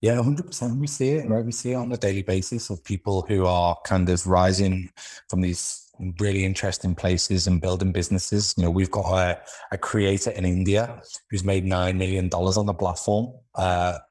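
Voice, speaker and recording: Low voiced scouse guy chatting in an interview, kinda thinking out loud as he goes. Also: steady tone, moderate pace. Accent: Liverpool. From Liverpool, England. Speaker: interview guest, entrepreneur. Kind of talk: casual interview talk.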